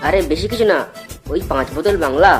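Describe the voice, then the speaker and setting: A man's voice: fairly high pitch, lively intonation, fast talker, lightly acted. A man plays a scheming young male drinking pal in a comic cartoon. He talks in a slangy, cajoling way as the casual ringleader. Faint music plays underneath. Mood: planning.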